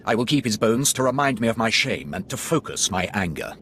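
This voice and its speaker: A stern, scornful Sith lord. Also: male voice, fairly low pitch, very animated, fairly quick, fairly bright tone, slight background noise. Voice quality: stern, commanding, contemptuous. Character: cold, proud Sith lord father, scornful. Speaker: Sith lord guarding the council chamber. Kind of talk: video game voice acting.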